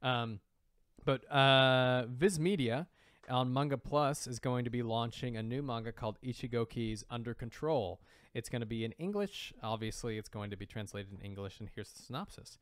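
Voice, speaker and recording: A man co-hosting a podcast in casual banter, animated and often repeating himself. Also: medium pitch, normal speed, smooth, no room sound, studio quality. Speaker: podcast co-host. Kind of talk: casual banter about manga news.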